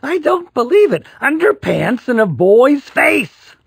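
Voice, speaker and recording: A man's voice: extremely high pitch, big pitch swings, measured pace, vocal fry, husky, no echo, studio quality, voice pushed up, exaggerated voice. A man voicing an outraged, blunt school child in a storybook, exaggerated and emphatic.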